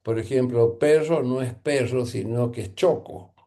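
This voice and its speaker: A man's voice: mid-range voice, unhurried, crowd noise. A man from Mendoza, Argentina, with a local accent, telling stories in a jovial, playful way. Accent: Mendoza Argentine Spanish. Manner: jovial storyteller, laughing, playful. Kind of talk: casual conversation.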